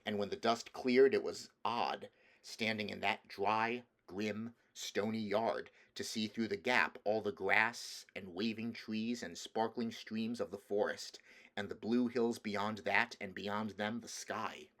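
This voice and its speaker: A man's voice: on the low side, steady tone, takes it slowly, fairly bright tone. A man reading a children's storybook aloud as scripted narration. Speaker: audiobook reader.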